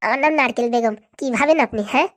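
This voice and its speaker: A woman's voice: high-pitched, rapid-fire, no room sound, clean studio sound, natural voice. A woman voicing a bossy, boastful, scheming female power broker in a cartoon, brash and commanding. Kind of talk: amateur cartoon voice acting.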